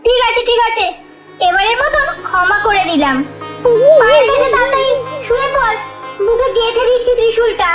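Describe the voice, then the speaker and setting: Very shrill cartoon goddess, vain, mocking, sing-song taunts, loud music under it. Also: female voice, fairly quick. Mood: forgiving. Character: vain, scolding goddess Durga, mother figure. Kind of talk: comic cartoon voice acting.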